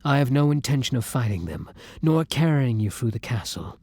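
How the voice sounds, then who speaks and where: Man acting a breathy, husky, tender vampire. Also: medium pitch, big pitch swings, normal speed. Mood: grave, pensive. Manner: soft breathy murmur, formal old-fashioned phrasing. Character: kind, tormented male vampire, tender and protective lover. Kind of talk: roleplay audio drama, intimate ASMR.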